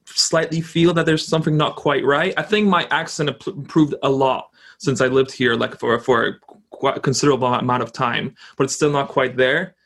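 A young man from Poland chats casually as a guest, often stopping and restarting his sentences. His voice has a gravelly, creaky edge. He sounds relaxed and thoughtful. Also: mid-range voice, moderate pace. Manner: hesitant, frequent restarts. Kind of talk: casual conversation.

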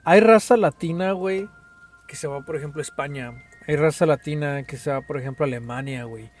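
Slangy Mexican man, higher voice. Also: fairly quick, faint music behind. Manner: slangy, swears a lot, says "güey" constantly. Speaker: podcast co-host. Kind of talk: casual chat.

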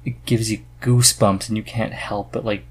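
A man hosting a podcast in casual chat, halting as he searches for words. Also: on the low side, level tone. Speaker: podcast host.

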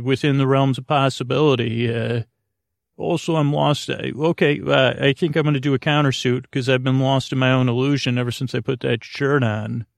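A man in his forties with a creaky voice, hosting a sleep podcast in a rambling, stammering monologue that often trails off mid-thought. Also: medium pitch, no room sound, studio quality. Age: 40s. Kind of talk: rambling, meandering bedtime monologue.

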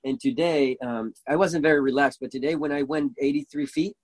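A man with a Venezuelan accent, a native Spanish speaker, chatting casually as a guest and telling stories excitedly, swearing freely. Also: mid-range voice, a little fast, no room sound. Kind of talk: casual chat.

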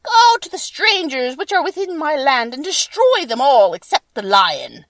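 High, swooping, imperious wicked witch. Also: sing-song, normal speed, dry recording, clean studio sound, far from the mic, full character voice. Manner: loud, imperious, emphatic. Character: wicked witch, angry, commanding, chanting spells. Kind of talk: storybook character voice.

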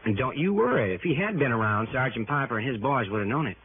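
A man's voice: mid-range voice, expressive, fast, natural voice. An actor playing an earnest, worried man in a 1960s radio drama, speaking emphatically and urgently. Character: earnest, worried male associate of a detective. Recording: muffled old radio recording, faint noise.

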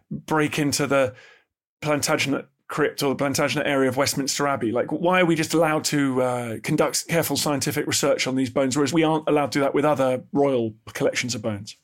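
A man hosting a conversational interview, asking curious and probing questions. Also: fairly high pitch. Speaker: host.

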